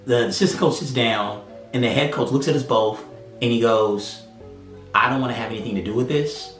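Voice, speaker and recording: A man's voice: medium pitch, fairly quick. A man in his thirties recounting a personal experience conversationally, acting out what others said. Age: 30s. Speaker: interviewee. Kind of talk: personal testimony. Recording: roomy echo with faint music.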